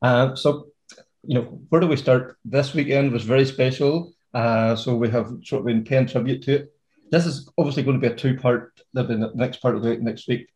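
A man's voice: mid-range voice, brisk, a little mellow, rough recording. A man hosting a podcast in a chatty, upbeat way with plenty of fillers. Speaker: podcast host. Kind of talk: casual podcast chat.

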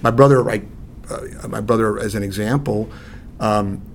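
A mature American man answers interview questions in a fairly low voice. He explains things in a measured way, over a faint rumbling noise. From Saint Louis, United States. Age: middle-aged or older. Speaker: lawyer, interview guest.

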